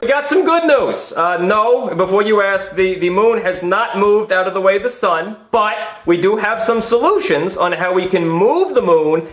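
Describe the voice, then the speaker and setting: A man playing a nervous, earnest official who gives a halting, stammering press conference in a comedy skit. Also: high voice, animated. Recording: roomy echo with faint music.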